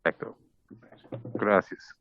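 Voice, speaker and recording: A man's voice: slow pace, fairly bright tone, slight background noise. A man from Ireland with an Irish accent, reading a formal address as an ambassador in careful second-language Spanish. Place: parliamentary committee room. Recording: dull, muffled committee audio.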